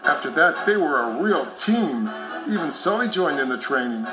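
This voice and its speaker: A man reading scripted children's story narration with expressive, clearly phrased storytelling. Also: a little high, normal speed, low-quality recording. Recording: music behind the voice.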